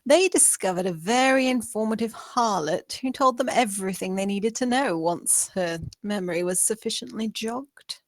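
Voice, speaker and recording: A woman's voice: medium pitch, normal speed, hardly any pauses, no echo, close to an everyday voice. A woman playing a scornful, commanding district attorney in a screenplay table read, speaking with cutting sarcasm and authority. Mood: scornful, controlled anger.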